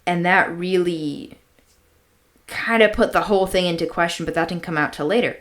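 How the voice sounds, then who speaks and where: A woman's voice: fairly low pitch, moderate pace, fairly bright tone. A woman co-hosting a podcast, chatting and telling stories in an informal, slangy way with emphatic repetition. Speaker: podcast co-host. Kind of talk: casual chat, storytelling.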